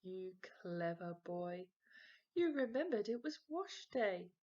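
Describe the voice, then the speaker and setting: A woman's voice: medium pitch, sing-song, slow, darkish tone, muffled recording. A woman voicing an emphatic, singsong mother, doting but easily exasperated, in a children's storybook. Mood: fond, breezy.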